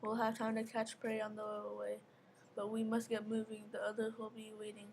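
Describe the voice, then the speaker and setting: Young warrior cat read by a woman: earnest, bossy, flat intonation, staged reading with faint noise. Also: a little high, fairly quick, lightly acted. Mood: urgent. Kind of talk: readers theater performance. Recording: faint background noise, live ambience.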